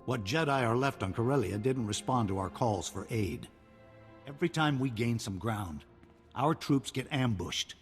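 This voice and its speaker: Male actor as husky determined general, urgent orders, video game, long reverb, loud music. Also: mid-range voice, lively intonation, normal speed, close to an everyday voice. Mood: grave, urgent. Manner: commanding, urgent, terse. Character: grim, determined male army general in wartime.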